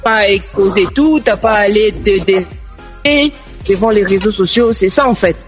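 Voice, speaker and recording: A woman's voice: mid-range voice, normal speed, faint music behind. A woman giving her opinion earnestly as a guest in a radio discussion.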